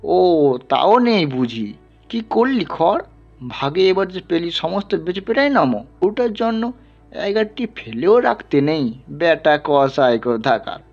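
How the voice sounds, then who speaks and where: A man voicing an angry, scornful village Brahmin who hectors a poor man loudly in an audio story. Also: fairly high pitch, very animated, measured pace, dull audio, soft background music, voice pushed up, full character voice. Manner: loud, scolding, hectoring.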